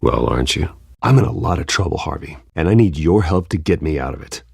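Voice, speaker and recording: A brooding rich vigilante for a video game. His voice is extremely deep and gravelly, with husky grain. He speaks in a terse, controlled, probing way. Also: expressive, fairly quick, dry recording, studio quality, heavily acted. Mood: guarded.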